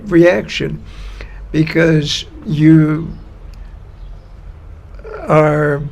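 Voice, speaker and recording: Slow, gravelly man telling a story. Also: fairly high pitch. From United States. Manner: slow, unhurried and a bit halting. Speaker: guest storyteller. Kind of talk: personal storytelling.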